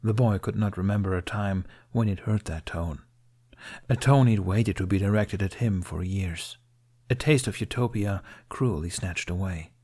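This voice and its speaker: A man with a lowish voice narrates an audiobook. His delivery is scripted, dramatic storytelling, read aloud as prose. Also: normal speed, dark tone, husky.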